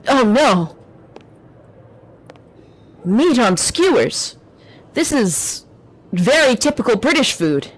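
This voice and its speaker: A woman's voice: on the high side, big pitch swings, takes it slowly, pauses often. A woman streamer talking casually and rambling in a self-deprecating way while she plays. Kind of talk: casual chat while gaming.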